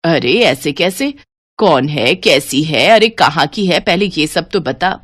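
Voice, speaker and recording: A woman voicing a cautious, fretful middle-aged mother in an animated moral story, questioning in manner. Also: deep voice, takes it slowly, dry recording, clean studio sound, voice pushed down, exaggerated voice. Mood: concerned, doubtful.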